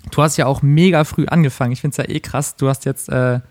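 A slightly hoarse German man hosting a casual interview, chatty and quick to laugh. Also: medium pitch, steady tone, darkish tone, no echo, clean studio sound. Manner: fast, chatty, laughs often. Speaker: podcast host, musician.

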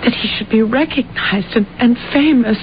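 An actress playing a timid, anxious, lonely wife who hesitates and stammers, in old-time radio drama style. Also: female voice, a little high, moderate pace, close to an everyday voice. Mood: fearful, tearful. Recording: muffled, noisy old radio broadcast.

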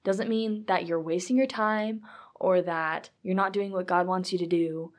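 A woman's voice: lowish voice, fairly even intonation, measured pace. A young woman chatting casually in an upbeat, emphatic way, co-hosting a conversation.

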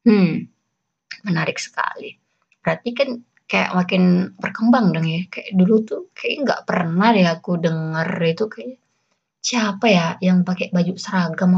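A young woman from West Kalimantan with a mixed Malay and Javanese accent, hosting a casual solo podcast in a chatty, giggly, rambling way. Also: on the low side. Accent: Malay-tinged Indonesian with Javanese roots. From West Kalimantan, Indonesia. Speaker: podcast host. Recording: dull lo-fi sound.

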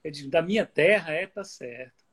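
Husky Bahian man on a video call. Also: a little high, expressive, fairly quick. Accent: Bahian Portuguese mixed with Pernambuco. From Bahia, Brazil. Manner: explanatory, thinks aloud with restarts. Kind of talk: casual conversation on a video call. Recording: video call audio with lag.

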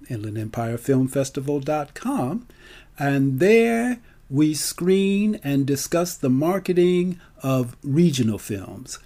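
A man giving an unscripted promotional talk in an emphatic, deliberate way, stretching and repeating key words. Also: medium pitch, warm tone. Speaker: storyteller and film festival organizer.

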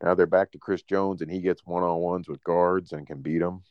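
A man's voice: sits low, steady tone, moderate pace, dry recording, highs cut off. A man co-hosting a casual sports podcast, easygoing and asking follow-up questions. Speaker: podcast co-host.

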